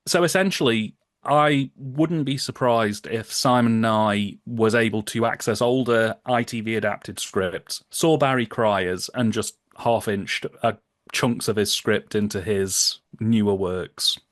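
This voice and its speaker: A man's voice: fairly low pitch, unhurried, dry recording, studio quality. A British man chatting casually as a podcast guest, wry and informative as he explains things.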